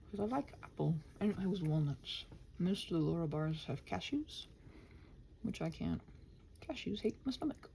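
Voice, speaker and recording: Animated woman rambling, often dropping into whispers. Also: fairly low pitch, creaky, a little hiss or hum, poor audio. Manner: meandering, muttering to herself, dropping into whispers; playful, sarcastic asides. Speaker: ASMR and audio channel creator. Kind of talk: casual rambling body-doubling chat to listeners.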